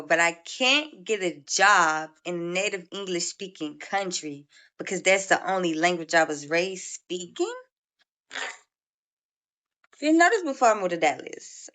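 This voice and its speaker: A woman's voice: on the low side, bright, forceful tone. A woman talking casually and matter-of-factly, mildly frustrated.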